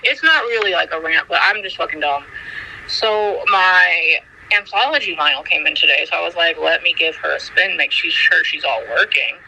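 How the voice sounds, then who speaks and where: Bright, gravelly woman telling a story. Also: lowish voice, normal speed, slight background noise, low-quality recording. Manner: animated, self-mocking. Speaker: friend and guest. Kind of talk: casual storytelling chat.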